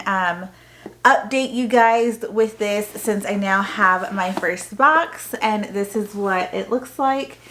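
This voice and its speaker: A woman's voice: mid-range voice, fairly bright tone, a little hiss or hum. An American woman with a mid-range voice speaks as the host of a casual homeschooling vlog. She explains things in a chatty, informal way. Her sentences wander a little as she goes. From Texas, United States. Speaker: homeschooling mother and video host. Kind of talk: casual vlog product talk to camera.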